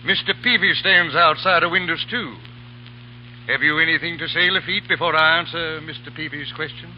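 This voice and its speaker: An actor playing a blunt, fiery general in a 1930s radio drama, talking in a gruff, commanding way. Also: male voice, fairly high pitch, normal speed, natural voice. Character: stern older male general, blunt and fiery. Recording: muffled old radio broadcast with hiss.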